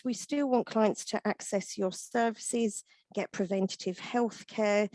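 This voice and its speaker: A husky-voiced woman in her thirties, leading an online webinar. Her tone is mellow and soft-edged, and the sound is close and dry. She explains at an even pace and runs through lists with the odd "um". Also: mid-range voice, no room sound. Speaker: webinar presenter and veterinary nurse. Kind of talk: presenting a product webinar.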